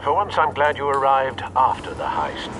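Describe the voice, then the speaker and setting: An actor playing a dry-witted, protective confidant to a vigilante in a video game, measured and understated. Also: male voice, mid-range voice, big pitch swings, natural voice. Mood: dry concern. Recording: distant-sounding voice under music.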